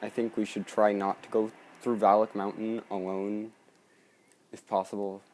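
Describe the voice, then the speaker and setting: A man's voice: fairly low pitch, flat delivery, moderate pace, darkish tone, a little hiss or hum, rough recording. A man giving casual let's play commentary over a video game. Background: faint game music.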